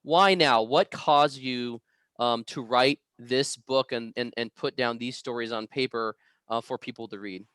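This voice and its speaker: A man from the United States conducts an informal online interview. His voice is clean and smooth, with a dry sound and a middle pitch. He speaks haltingly, often hesitating as he builds a question. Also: fairly bright tone, dry recording.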